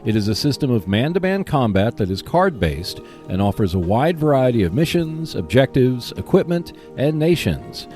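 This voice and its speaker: Man with lively pitch reading podcast announcements. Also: moderate pace, few pauses, faint music behind. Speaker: podcast host. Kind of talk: scripted announcements for a hobby gaming podcast.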